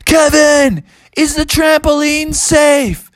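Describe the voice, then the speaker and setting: A man voicing an excitable, upbeat young daredevil adventurer in a children's story, exclamatory and enthusiastic. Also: very high voice, measured pace, no room sound, studio quality, pitched above the performer's own voice, exaggerated voice. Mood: anxious.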